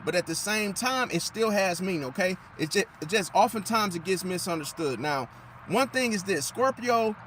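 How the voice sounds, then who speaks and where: A man, slightly hoarse and losing his voice, lecturing viewers in a casual, emphatic way, repeating himself and firing off rhetorical questions. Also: high-pitched, pushed and bright, slight background noise. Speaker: astrology commentator. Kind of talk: casual talking monologue to viewers.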